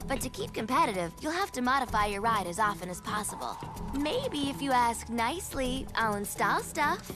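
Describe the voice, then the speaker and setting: A woman's voice: on the high side, sing-song, moderate pace. A woman voicing a spirited, determined young mechanic in a video game, with a sassy delivery. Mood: confident, teasing. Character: headstrong, spirited young female mechanic and daughter. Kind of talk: video game cutscene acting. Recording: music under the voice. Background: music.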